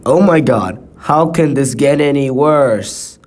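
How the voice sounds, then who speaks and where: A man presents scripted breaking news as an anchor. His voice sits at an average pitch for a man. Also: takes it slowly, darkish tone.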